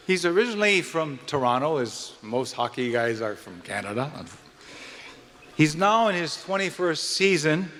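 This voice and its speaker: A man from Hawaii with a Hawaiian accent hosts an event live, giving a folksy, slightly halting speech to guests in a large indoor venue. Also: a little high, a bit bright. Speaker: event host and speaker. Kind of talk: live welcome speech with jokes and introductions. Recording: long hall reverb from venue PA.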